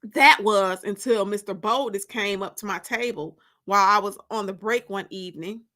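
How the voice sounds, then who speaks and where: A woman narrating a first-person story aloud in an expressive, confiding, emphatic way. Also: medium pitch, moderate pace.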